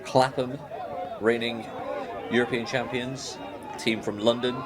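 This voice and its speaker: A man giving live play-by-play commentary on a match, steady and informative as he reads each move. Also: medium pitch, a little slow, noisy background. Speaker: sports commentator. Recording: loud crowd behind commentary.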